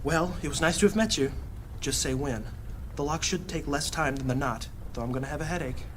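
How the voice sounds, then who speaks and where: A man's voice: a little high, fairly quick, a little hiss or hum, natural voice. A man playing an anxious, wry, weary psychic renegade in a fantasy audio drama. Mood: wry, doubtful.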